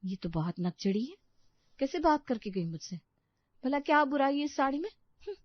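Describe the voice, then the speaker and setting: A woman's voice: high voice, big pitch swings, moderate pace, dry recording, dull audio, pitched above the performer's own voice. A woman voicing a naive, eager young new bride who is easily slighted, in a family storybook tale. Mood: indignant.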